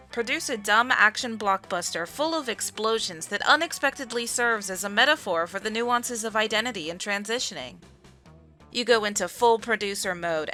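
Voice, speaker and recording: Casting: female narrator for comic visual novel, mid pitch, bright tone, scripted storytelling over music.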